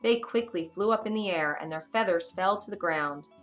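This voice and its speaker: A woman reading a scripted children's fairy tale in an expressive, deliberate storytelling manner. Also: fairly low pitch. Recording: music behind the narration. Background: music.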